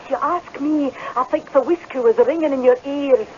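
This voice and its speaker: An actress playing a skeptical, sharp-tongued, worried wife in a radio drama, scolding in exasperation. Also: female voice, high voice, moderate pace, natural voice. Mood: scornful. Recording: dull, noisy old radio recording.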